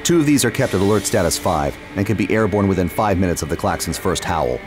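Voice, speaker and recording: A very low-voiced fighter pilot narrates in terse, clipped military phrases. He is intense and hard-edged, in a dramatic first-person audio drama, with loud music and engine rumble behind him. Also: animated, quick pace. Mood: tense, focused.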